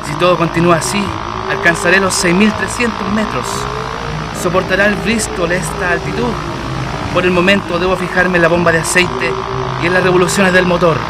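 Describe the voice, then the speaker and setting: Over loud engine noise, a man acting as a brave pioneer Chilean aviator talks to himself in a fairly high voice. He is theatrical and exclamatory, anxious yet determined, in the manner of a radio drama. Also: flat delivery, brisk, lightly acted. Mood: tense, triumphant.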